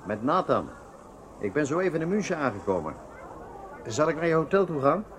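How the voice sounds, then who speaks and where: Brisk man, fairly high-pitched. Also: very animated. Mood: businesslike. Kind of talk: radio drama acting. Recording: radio play with clear background noise.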